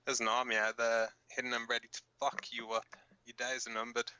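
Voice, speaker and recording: A man playing a brash underground radio co-host in an audio drama. He has a bright, forceful voice. His talk is blunt, crude and casual. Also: medium pitch, monotone, fairly quick, smooth, highs cut off. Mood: defiant. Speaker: radio co-broadcaster.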